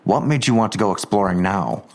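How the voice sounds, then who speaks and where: A man's voice: on the low side, fairly quick, natural voice. An actor playing a hot-tempered police detective and protective father, blunt and confrontational, in an audio drama. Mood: stern, angry.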